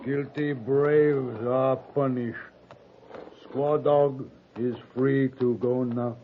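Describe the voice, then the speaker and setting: Solemn native chief in an old radio western, really slow and stilted, few words, tinny. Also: male voice, medium pitch, steady tone. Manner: slow, halting, stilted speech. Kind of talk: 1950s American radio acting. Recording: tinny, noisy 1950s radio recording.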